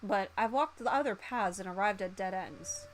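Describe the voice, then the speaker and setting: A woman voicing a proud, earnest gentleman chef with big dreams in a visual novel. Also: medium pitch, moderate pace, a little hiss or hum, close to an everyday voice, low-quality recording. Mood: wistful pride.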